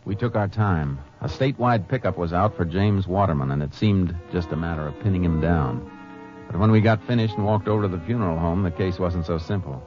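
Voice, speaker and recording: An American man playing a steady, terse Texas Ranger who narrates his own case in a 1950s radio drama, speaking in a clipped, matter-of-fact way. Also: low-pitched, a little fast, natural voice. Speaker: lead actor and narrator. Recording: muffled old radio broadcast with music.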